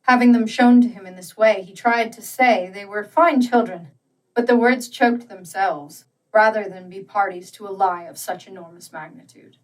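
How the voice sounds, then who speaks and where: A woman narrating a literary story as an audiobook, with measured, deliberate and dramatic phrasing. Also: medium pitch, distant mic, low-quality recording.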